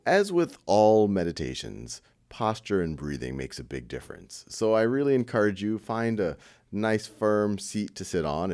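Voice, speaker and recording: A man leading a guided meditation in an informal, conversational style, with a gentle, encouraging manner and light self-deprecating humour. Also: low-pitched.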